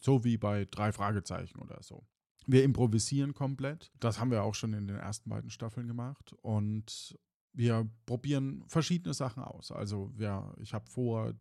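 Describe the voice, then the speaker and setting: A man's voice: mid-range voice, gravelly, warm tone, dry recording, studio quality. A man speaking informally and loosely as host and game master, chatting to podcast listeners. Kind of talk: casual chat to podcast listeners.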